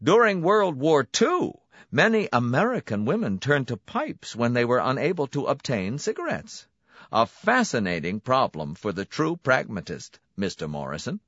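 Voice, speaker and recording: A man voicing a smooth, smiling, menacing clinic director who relishes his words. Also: mid-range voice, sing-song, moderate pace, a bit bright, no room sound, lo-fi sound, voice pushed up. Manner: silky, pointed, relishing emphasis.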